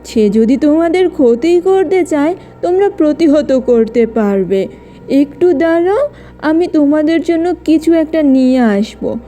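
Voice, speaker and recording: A woman's voice: high voice, animated, a little slow, mellow, soft background music. A woman voicing a kindly, protective old grandmother in a cartoon, grave and reassuring as she tells stories. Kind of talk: children's cartoon acting.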